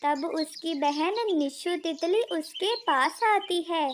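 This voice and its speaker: A woman's voice: extremely high pitch, slow pace, a little hiss or hum. A woman narrator reading a scripted children's story.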